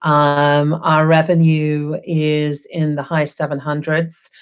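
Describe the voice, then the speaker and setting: A woman's voice: deep voice, flat delivery, slow pace, smooth, dull audio. A middle-aged woman from England, chatting upbeat as an interview guest over a video call, with many ums. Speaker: guest, HR executive. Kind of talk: casual interview talk. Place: home room, video call.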